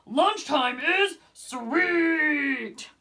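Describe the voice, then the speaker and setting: A woman's voice: high voice, lively intonation, heavily acted. Woman putting on a boisterous, drawling young cartoon shark. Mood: exuberant. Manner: loud, drawn-out exclamations. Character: boisterous, over-eager young boy shark at school.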